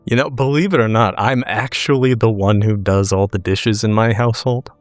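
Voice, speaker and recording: A man's voice: fairly low pitch, fairly even intonation, normal speed, no echo, soft background music, close to an everyday voice. A man playing a wry, smitten protective agent with dry, understated banter in a tabletop roleplaying game. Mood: playful, wry.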